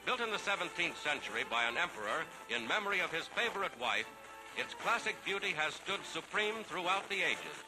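A man's voice: fairly high pitch, big pitch swings, fairly quick, low-quality recording. A man with an American accent reads scripted 1940s newsreel narration in a declamatory, dramatic announcer's manner on a vintage soundtrack. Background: loud music.

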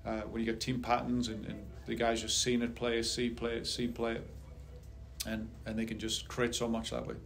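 A middle-aged man speaking as a head soccer coach at a post-game press conference, measured and steady. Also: medium pitch. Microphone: table mic on a stand.

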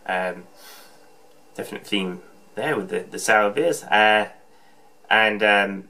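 A man's voice: fairly low pitch, takes it slowly, sharp tone. A man in his thirties chatting casually to camera in a small room, rambling with plenty of ums and restarts. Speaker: homebrew vlogger. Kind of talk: casual vlog chat. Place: small indoor room with shelves. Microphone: camera on desk, close framing.

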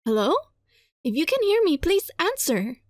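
A woman's voice: very high-pitched, normal speed, no room sound, studio quality. A woman hosting a gaming video, reading a visual novel aloud expressively and adding casual, giggly reactions. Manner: expressive, dramatized read-aloud with giggly asides. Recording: music behind the voice. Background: game music.

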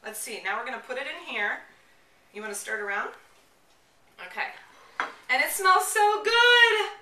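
A woman's voice: sits high, big pitch swings, normal speed, sharp tone. A woman in her thirties presenting a casual home product demonstration, enthusiastic and chatty as if with children. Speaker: mom blogger presenter. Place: home kitchen, indoors. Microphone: camera a few steps away. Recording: roomy, distant camera microphone.

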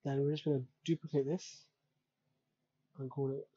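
A man's voice: medium pitch, flat delivery, moderate pace, mellow, breathy edge. Dull-sounding man casually narrating a tutorial. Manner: casual, thinking aloud step by step. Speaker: tutorial presenter. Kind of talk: unscripted screen-recorded software tutorial narration.

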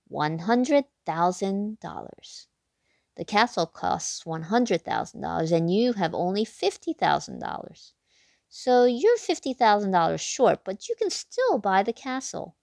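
A woman hosting a read-aloud, reading a children's picture book expressively, with big excited leaps in pitch. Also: gravelly, dry recording, studio quality.

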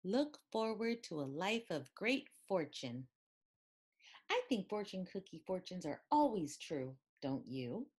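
Woman storybook reader, lowish pitch, unhurried pace, expressive and deliberate delivery for a picture book. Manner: expressive, deliberate reading with questioning lilts. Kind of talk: picture book read-aloud.

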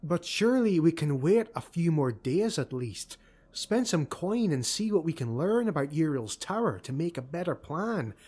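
A shrewd, cautious elf warrior woman , played by a man reading an audiobook, lifted well above his own voice and kept soft. She is measured and thoughtful as she argues her case, with a husky edge. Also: on the high side, very animated, voice pushed up.

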